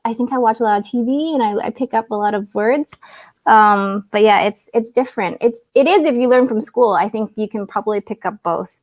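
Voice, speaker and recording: A woman's voice: fairly high pitch, fairly quick, dry recording. A woman whose first language is Cantonese, chatting casually as a guest on a live video call, giggly and full of fillers. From Canada.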